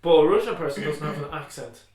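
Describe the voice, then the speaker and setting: A man's voice: on the high side, expressive, quick pace, a crowd in the background. Blunt young Irish man, echoey room. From Ireland. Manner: blunt, swearing, slangy. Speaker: podcast co-host. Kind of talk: casual chat. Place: indoor room with echo.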